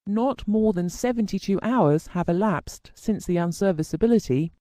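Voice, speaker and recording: A narrator reading a scripted technical lesson in a measured, formal, explanatory way. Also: mid-range voice, warm tone, no echo, clean studio sound. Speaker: instructional narrator.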